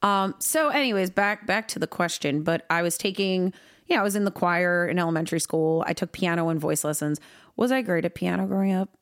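A woman's voice: lowish voice, fairly quick. Chatty, bubbly woman from New York. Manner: bubbly, chatty, laughs often, many "like" fillers. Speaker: guest musician. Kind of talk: casual podcast chat.

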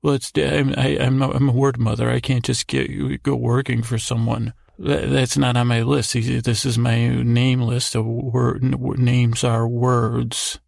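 A creaky, muddled fairy word mother, acted out by a man for a bedtime story podcast. She is flustered and business-minded, stumbling over her words in a flat, dry-sounding delivery. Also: medium pitch, no room sound. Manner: halting, muddled, stammering.

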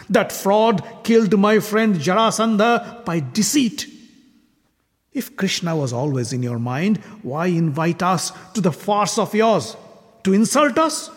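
A man's voice: on the high side, voice pushed up. A man voicing a furious, arrogant king who hurls scornful insults in a retold legend. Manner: scornful, ranting accusations. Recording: long hall reverb.

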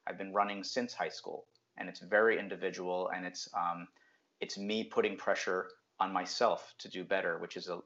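Brief: middle-aged man, very low voice, reflective interview guest, muffled lo-fi sound. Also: fairly bright tone. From New Jersey, USA. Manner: reflective, frequent um fillers. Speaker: guest, brand strategist. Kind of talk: casual interview talk. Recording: dull lo-fi audio.

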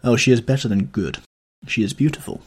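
A man voicing a languid, witty aristocratic gentleman, with a drawling manner, in a storybook reading. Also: medium pitch, a little fast, husky, natural voice. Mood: amused.

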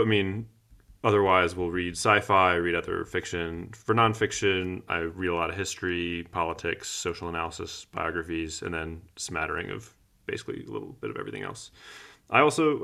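A thirty-year-old man with a deep voice and a noticeable fry in it, co-hosting a podcast. His tone is bright and forward, and he talks in a casual, conversational way. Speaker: podcast co-host.

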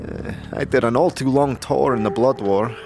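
A man's voice: mid-range voice, normal speed, creaky, pitched above the performer's own voice, close to an everyday voice. A man voicing a jovial, weary old war veteran in a fantasy audio drama, rambling in a folksy way. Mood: jovial, reminiscing. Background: prominent background music.

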